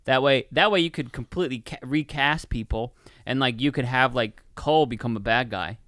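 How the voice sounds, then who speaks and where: A man co-hosting a podcast, chatting casually in an excitable, rambling way with plenty of swearing. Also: medium pitch, fairly quick, no room sound, studio quality. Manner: excitable, profane, rambling enthusiast. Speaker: podcast co-host reviewing a film. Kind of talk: casual chat.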